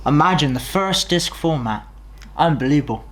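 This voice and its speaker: Enthusiastic young man with high, swooping voice. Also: lively intonation, moderate pace, a bit bright. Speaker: student presenter. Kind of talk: presenting a news-style history video. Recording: music behind the voice.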